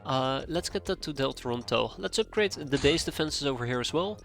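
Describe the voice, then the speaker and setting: A man's voice: mid-range voice, expressive, brisk, vocal fry, fairly bright tone, faint music behind. A man in his thirties with a cold and a stuffy nose, streaming a strategy game live, thinking aloud and drifting off track as he chats with viewers. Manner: rambling, thinking aloud, easily sidetracked. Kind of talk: live gameplay commentary, chatting with viewers.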